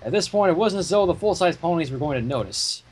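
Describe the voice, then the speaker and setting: Husky, animated man reading a story aloud. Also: a little high, fast talker. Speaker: fan fiction reader. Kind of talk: expressive storybook-style narration.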